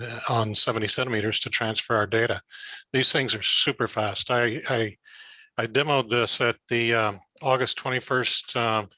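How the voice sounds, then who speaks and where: A man's voice: mid-range voice, pushed and bright, no room sound, poor audio. A man from a ham radio club explaining equipment on a video call, enthusiastic and stumbling over restarts. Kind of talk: casual technical talk on a video call.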